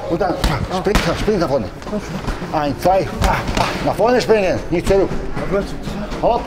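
A middle-aged man coaching pad drills, calling short counts and commands in a large indoor boxing gym, picked up by a camera at a distance. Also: on the high side. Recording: gym noise with loud thuds.

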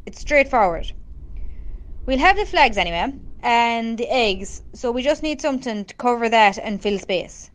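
A woman's voice: on the high side, expressive, moderate pace, natural voice. An Irish woman in an amateur radio drama playing a practical, no-nonsense leader of a rural group. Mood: businesslike.

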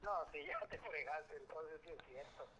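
Sharp, high-voiced father on speakerphone. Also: normal speed. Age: middle-aged. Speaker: streamer's father. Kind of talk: casual phone conversation. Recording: tinny, noisy speakerphone telephone line.

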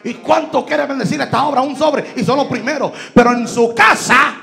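A man in his thirties preaching a fiery sermon on a church stage into a handheld mic, shouting and mixing Spanish with English. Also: very high-pitched, moderate pace, vocal fry. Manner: shouting, emphatic, switching between Spanish and English. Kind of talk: Pentecostal sermon. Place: large church stage indoors. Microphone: handheld microphone.

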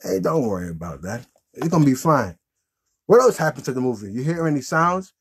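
A man hosts a puppet show in a casual, improvised way. His voice is husky and unpolished, that of someone in his thirties. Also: mid-range voice, big pitch swings, a little fast. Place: small indoor room with green backdrop.

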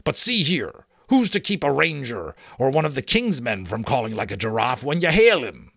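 Hot-tempered giant brigand, performed by a male reader: rustic, blustery, pushed up in pitch, dry close recording. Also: sing-song, a little slow, vocal fry, sharp tone, no room sound, exaggerated voice. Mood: resigned. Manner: blustering, old-fashioned rustic turns of speech.

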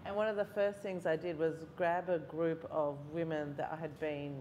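A woman's voice: low voice, flat delivery, moderate pace, darkish tone, slight background noise. A woman in her forties with an Australian accent, a writing lecturer telling candid stories with self-deprecating humour on a festival panel. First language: Mauritian Creole or Italian. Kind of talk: panel discussion. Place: large hall, seated on stage.

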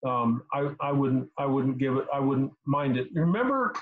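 A man in his sixties giving casual technical advice as a host on a video call, halting and repeating words as he explains. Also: medium pitch, lively intonation. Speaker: host answering car repair questions. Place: small home room indoors. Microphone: computer mic on a video call. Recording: slightly dull video call audio.

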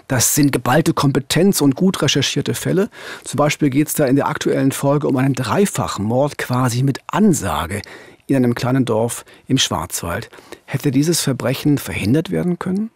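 A man's voice: medium pitch, expressive, moderate pace, vocal fry, slightly rough, no room sound. A man in his fifties telling true crime cases in a conversational, narrated podcast style as host. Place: small dark radio studio, indoors. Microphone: studio microphone on a boom arm, close.

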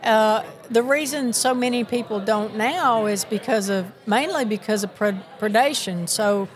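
A woman's voice: a little high, a little slow. Southern-accented older woman, chatty. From Miami, Florida. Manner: chatty, earnest, many restarts. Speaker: sheep producers association president. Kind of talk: live interview at an event. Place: busy convention hall.

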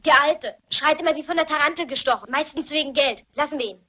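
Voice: high voice, quick pace, bright, forceful tone, muffled recording. A performer playing a cheeky, precocious schoolboy in a radio play, blunt, glib and pert. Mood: pert, bossy. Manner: pert, glib, mock-grown-up. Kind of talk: German radio play acting.

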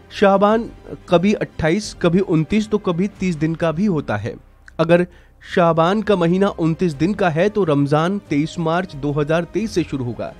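A man's voice: fairly high pitch, darkish tone. A man reading scripted news narration as a presenter. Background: background music.

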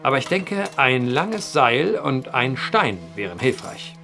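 A solemn, courteous man who investigates the uncanny in a gothic audio drama. His mid-pitched voice rises and falls vividly as he explains in formal, deliberate phrases. Soft music sits underneath. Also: sing-song, exaggerated voice. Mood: earnest, deliberate. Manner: formal, measured, old-fashioned phrasing. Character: earnest, methodical man investigating vanishings, polite storyteller. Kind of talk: period horror audio drama acting.

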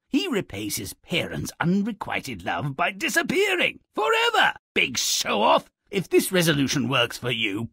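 A man voicing a gruff, mischievous gnomish storybook narrator for a video game, with a raspy, gravelly voice and a sardonic, pompous manner. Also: sits high, pushed and bright, no room sound, studio quality, exaggerated voice. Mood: exasperated, scornful. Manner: sardonic, pompous, alliterative, relishing each word.

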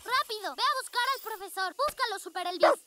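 A woman's voice: very high voice, animated, brisk, very noisy. A woman voicing a brave, bossy little boy superhero rabbit in a Latin American Spanish cartoon, confident and childlike. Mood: decisive. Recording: music under the voice.

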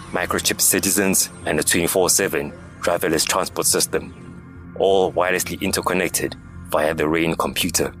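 A man's voice: low-pitched, fairly bright tone. A man giving scripted, dramatic narration for a science fiction audio drama. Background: music.

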